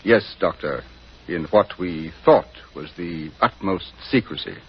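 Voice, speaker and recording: An actor playing a grave English knight in government service in a 1940s radio drama, formal and measured. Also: male voice, low voice, lively intonation, breathy edge, full character voice. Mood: confidential. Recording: muffled 1940s radio recording.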